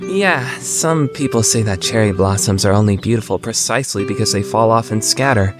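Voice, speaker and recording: A man's voice: fairly low pitch, normal speed, over music, close to an everyday voice. A man voicing an awkward, earnest teenage schoolboy with a crush in an anime fandub, hesitant and easily flustered. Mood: gentle, earnest. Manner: flustered, hesitant, overthinking monologue. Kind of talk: anime fandub radio play.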